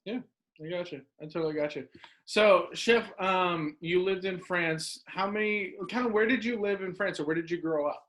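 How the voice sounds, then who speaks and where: A man's voice: high voice, moderate pace, fairly bright tone. A man hosting a casual interview, giving short friendly affirmations and asking questions. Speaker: interviewer host.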